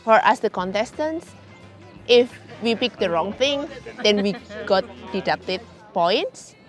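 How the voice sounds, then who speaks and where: Mid-pitched woman, faint music. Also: animated, slow pace. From Indonesia. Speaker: barista competition contestant. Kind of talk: documentary interview talk.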